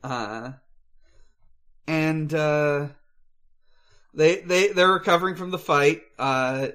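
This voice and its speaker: Halting, higher-pitched man, unhurried podcast co-host. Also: fairly bright tone. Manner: halting, hesitant, searching for words. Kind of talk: casual film recap chat.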